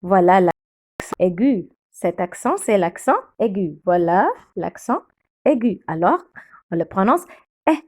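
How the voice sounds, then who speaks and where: A woman, a native Arabic speaker, teaching French accent marks slowly and repeating each word for learners. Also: medium pitch, lively intonation, darkish tone. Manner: slow, deliberate, repeats words for learners. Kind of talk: slow classroom explanation of French accents.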